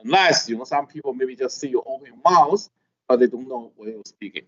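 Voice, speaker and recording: A middle-aged man lecturing a class, with a halting manner and constant "okay?" checks. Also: medium pitch, lively intonation, fairly bright tone. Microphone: video call. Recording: dull lo-fi video call audio.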